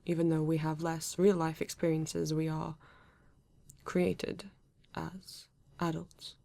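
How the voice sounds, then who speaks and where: A woman's voice: sits low, flat delivery, creaky, dark tone, dry recording, full character voice. A woman playing a male android police detective with a British accent, formal, precise and proud yet earnest, in an ASMR roleplay. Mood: assured, proud. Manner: formal, precise, measured, avoids contractions.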